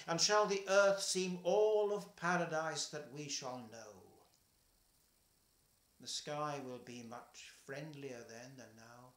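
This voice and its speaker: Older man reading poetry slowly. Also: fairly high pitch, echoey room. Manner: slow, measured, trailing softly at line ends. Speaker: poetry reader. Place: home kitchen, indoors.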